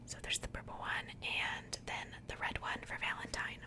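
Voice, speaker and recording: A woman speaking in a breathy, unvoiced whisper, chatting softly and informally in the style of an ASMR unboxing. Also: normal speed. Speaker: ASMR video creator.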